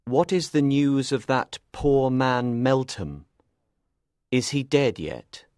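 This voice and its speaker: A man voicing a polite, sly gentleman villain in an audiobook, measured and mournful in manner. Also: mid-range voice, expressive, no echo, clean studio sound, pitched above the performer's own voice. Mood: inquisitive. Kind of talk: audiobook character voice.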